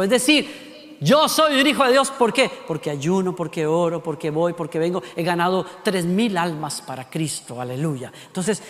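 High-voiced Costa Rican preacher in a hall. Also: male voice. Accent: Costa Rican Spanish. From Costa Rica. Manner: animated, rhetorical questions, acts out voices. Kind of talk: sermon.

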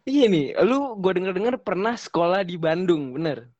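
A man's voice: high voice, animated, moderate pace, darkish tone, no room sound, dull audio. A young man hosting a casual podcast chat, teasing his guests, laughing often and swearing playfully. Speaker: podcast host.

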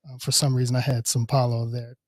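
A co-host asking the guest questions in a relaxed, conversational way. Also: medium pitch, fast talker, no echo, studio quality. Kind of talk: interview questions.

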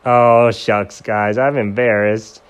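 A man voicing a modest, super-fast male turtle hero in a bedtime story, speaking in a bashful, matter-of-fact way. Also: on the low side, little pitch movement, smooth.

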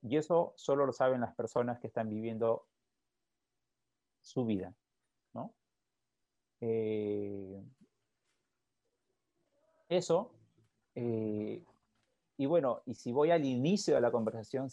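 Calm guy therapist explaining stuff on a podcast, kinda mellow, dry lo-fi sound. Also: lowish voice, no echo. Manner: calm and reflective, often ends with a questioning tag. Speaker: psychologist.

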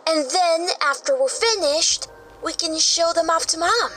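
A woman's voice: very high-pitched, unhurried, pushed and bright, soft background music, exaggerated voice. A woman voicing an eager, outspoken young child in a children's audio story.

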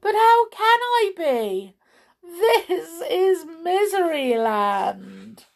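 A woman's voice: very high-pitched, big pitch swings, very slow, vocal fry, voice pushed up, full character voice. A woman voicing a miserable, weepy king in a children's storybook, sobbing and stammering with outrage. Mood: tearful wailing.

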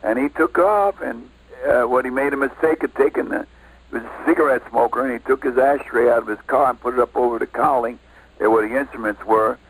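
An older man with an American accent casually reminiscing as an interview guest, halting and repeating himself. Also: mid-range voice, slight background noise, rough recording.

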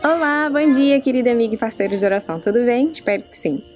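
A woman's voice: fairly high pitch, lively intonation, quick pace, a little mellow, soft background music. A woman from Brazil who speaks with a European Portuguese accent, a missionary making a welcoming video appeal for donations. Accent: European Portuguese, picked up abroad.

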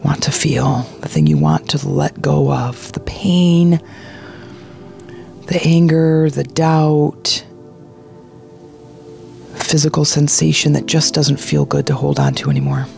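A meditation guide with a slow, unhurried delivery and a gravelly creak in the voice. The tone is soothing and hushed, leading a guided meditation over faint music. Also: mid-range voice, fairly even intonation. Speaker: meditation guide, podcast host.

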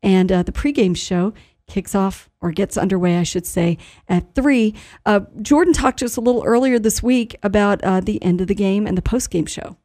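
A woman hosting a live radio talk show in an informal, halting manner, sometimes reading notices aloud. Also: medium pitch, dark tone.

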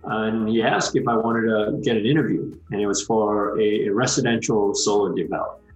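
A man from Malaysia in his thirties chats easily as an interview guest, his fairly low voice filled with "you know" and "um". The sound has a roomy echo, and soft music sits behind him. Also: fairly even intonation, fairly quick. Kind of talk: casual interview.

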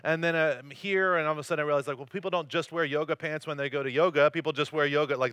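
A man's voice: fairly high pitch, a bit bright, smooth, no echo. A man from North Carolina, probably in his thirties, preaching a conversational sermon as a campus pastor, mixing jokes and self-deprecating stories. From North Carolina, USA. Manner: joking, self-deprecating, loose storytelling. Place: church service.

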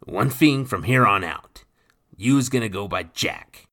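A man putting on a swaggering rogue with a rough lilt, gravelly and bright-edged. His talk swings up and down with lively, scheming confidence, loud and pleased with himself, like a storybook thief. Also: fairly low pitch, unhurried, voice pushed up, exaggerated voice. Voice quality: gravelly, swaggering, ungrammatical dialect. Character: roguish scheming male thief, cocky, adult.

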